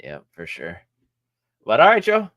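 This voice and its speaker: A man's voice: mid-range voice, huge pitch swings, measured pace, fairly bright tone. A man co-hosting a sports podcast, easygoing and casual, often tossing in "man.". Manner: easygoing, says "man" a lot. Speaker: podcast co-host.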